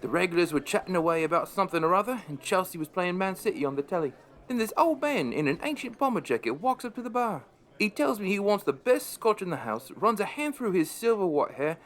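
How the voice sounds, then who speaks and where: A man's voice: high-pitched, big pitch swings, fairly quick. An actor playing a wry, chatty pub landlord, narrating his story in a conversational way for an audio drama.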